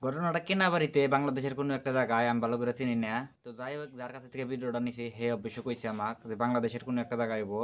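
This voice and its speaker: Male commentator, informal delivery at a brisk pace. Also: mid-range voice, steady tone, fairly bright tone.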